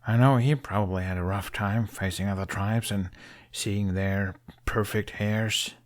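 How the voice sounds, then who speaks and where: A man voicing a dejected, self-deprecating teenage Viking boy in an audiobook, halting and muttering in an awkwardly earnest way. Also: lowish voice, steady tone, natural voice. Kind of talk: audiobook character dialogue.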